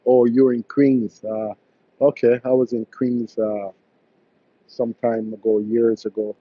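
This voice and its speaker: Husky, hesitant man on a live stream. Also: mid-range voice, level tone, slow, dry recording, highs cut off. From Barbados. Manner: hesitant, many fillers and restarts. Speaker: electrician hosting a live stream. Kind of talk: casual live-stream chat reading viewer comments.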